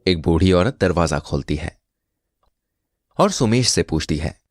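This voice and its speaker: Deep, lively male storyteller. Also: measured pace, no echo, clean studio sound. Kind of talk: scripted Hindi moral story narration.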